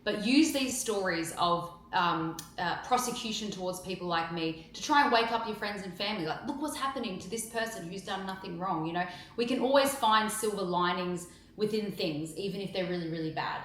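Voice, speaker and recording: A woman's voice: medium pitch, fairly quick, few pauses, a bit bright, poor audio. A woman speaking outspokenly and with emphasis, answering interview questions and giving a direct update. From Australia. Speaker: campaigner being interviewed.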